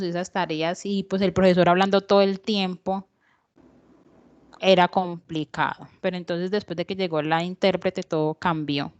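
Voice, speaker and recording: A woman interprets the guest's signed answers aloud in the first person. Her voice is fairly low and smooth, and she pauses often as she searches for words. The recording sounds slightly dull. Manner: halting, searching for words, frequent fillers. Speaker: sign language interpreter voicing a deaf guest.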